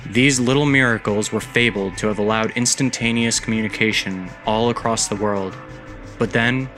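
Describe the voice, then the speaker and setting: A man hosting his own podcast, narrating a story and giving announcements in a steady, measured way. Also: lowish voice. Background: background music.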